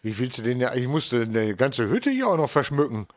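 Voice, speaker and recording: A man's voice: mid-range voice, very animated, moderate pace. An older man in casual chat, grumbling and complaining, with frequent hesitations and fillers. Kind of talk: casual chat between two older workers.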